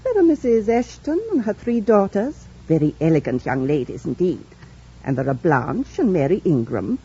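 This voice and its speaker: Husky, chatty older housekeeper. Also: female voice, lowish voice, sing-song, normal speed, dark tone, heavily acted. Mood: admiring, gossipy. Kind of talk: 1940s radio drama acting. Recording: dull old radio recording.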